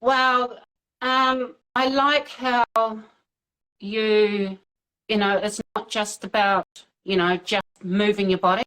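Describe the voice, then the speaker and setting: A woman's voice: medium pitch, steady tone, slow, bright, forceful tone, low-quality recording. A woman in her thirties from New Zealand with a New Zealand accent, a guest on a video call interview, talking casually with lots of fillers and easy laughs. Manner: hesitant, many fillers, laughs easily.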